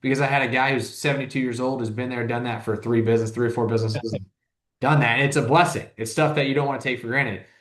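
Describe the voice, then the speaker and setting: Fast-talking man in his thirties, echoey room. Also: mid-range voice, fairly even intonation. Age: 30s. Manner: rambling, heavy use of "like", frequent restarts. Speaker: business owner, interview guest. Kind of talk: casual interview talk.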